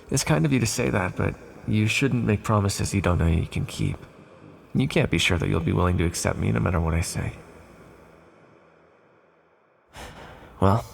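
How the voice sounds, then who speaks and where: A man's voice: lowish voice, vocal fry, husky, full character voice. A man playing an ancient, imprisoned world-destroying villain, lonely and fond, speaking formally and measuredly in an intimate fantasy roleplay. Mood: gentle, hesitant.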